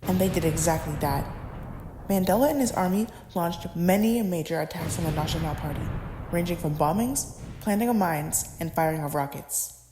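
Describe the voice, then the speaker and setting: A woman's voice: on the low side, moderate pace, background noise. A young adult woman reading a scripted educational narration as the narrator, recorded in an echoey room.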